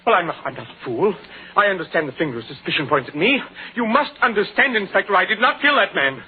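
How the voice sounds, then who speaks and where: A flustered, pleading suspect in a vintage radio mystery. He stammers nervously in a rapid, raised voice on a narrow, tinny recording. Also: sits high, expressive, a little hiss or hum. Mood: frantic, pleading. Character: frantic, nervous murder suspect pleading innocence. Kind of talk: old-time radio detective acting.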